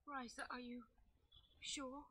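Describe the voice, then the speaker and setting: A woman's voice: high-pitched, flat delivery, slow, bright, forceful tone, full character voice. An actress playing a loving, resolute middle-aged noble mother in a fantasy game, with a dignified and earnest delivery. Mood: tender, resolute. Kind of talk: dramatic fantasy game acting.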